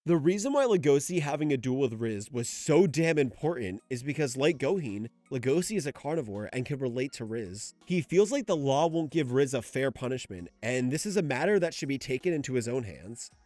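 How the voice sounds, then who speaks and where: A young man giving expressive, enthusiastic scripted commentary as the host of a video essay. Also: medium pitch, a little fast, few pauses, no echo, faint music behind. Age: young adult. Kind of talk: scripted manga character commentary.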